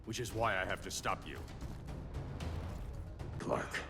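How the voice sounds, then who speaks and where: A man playing a grim middle-aged vigilante superhero in a game cutscene, terse and measured in a stern, principled way. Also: deep voice, creaky, heavily acted. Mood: somber resolve. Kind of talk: dramatic game cutscene acting. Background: loud cinematic music.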